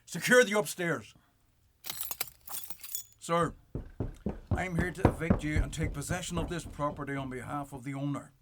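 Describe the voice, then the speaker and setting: An actor playing a cold, officious eviction bailiff who speaks in formal legalese in a radio play. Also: male voice, a little high, moderate pace, long pauses, heavy background noise, close to an everyday voice. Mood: commanding.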